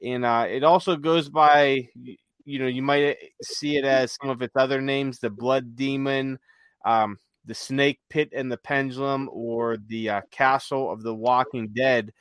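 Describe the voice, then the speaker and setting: A man's voice: mid-range voice, fairly even intonation, a little slow, fairly bright tone, smooth, dry recording. A man co-hosting a podcast, enthusiastically recommending things in a casual chat and repeating phrases for emphasis. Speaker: podcast co-host.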